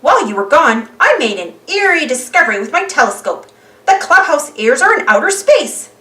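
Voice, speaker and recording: A woman's voice: high voice, sing-song, fairly quick, sharp tone, reverberant, far from the mic, voice pushed up, full character voice. A woman voicing a fussy, knowing male cartoon professor who explains things in a jokey storybook manner.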